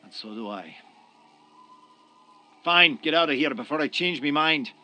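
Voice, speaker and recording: A man's voice: on the high side, animated, measured pace, pushed and bright, heavily acted. A man playing a wary, aggrieved Irish tenant in a radio drama, blunt and threatening in an Irish accent. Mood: grudging.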